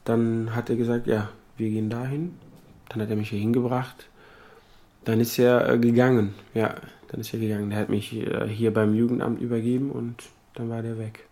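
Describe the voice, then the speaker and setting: A man giving an unscripted personal account as an asylum seeker, speaking haltingly and repeating himself. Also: medium pitch, flat delivery, mellow. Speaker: asylum seeker telling his experience.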